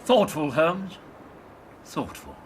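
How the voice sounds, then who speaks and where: An actor playing a detective's loyal, decent British companion in a radio drama, thoughtful and earnest. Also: male voice, a little high, huge pitch swings, slow pace, noisy background, heavily acted. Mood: sober, thoughtful. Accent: British English.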